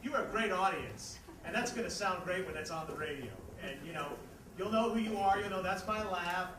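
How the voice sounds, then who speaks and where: A high-voiced American man speaks informally to a crowd, halting and repeating his words. He sounds distant in a roomy venue, with clear noise around him. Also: lively intonation. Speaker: theater project organizer and host. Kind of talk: informal thank-you speech to a live audience. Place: indoor venue before a live audience. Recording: echoey venue, distant mic, noisy audience.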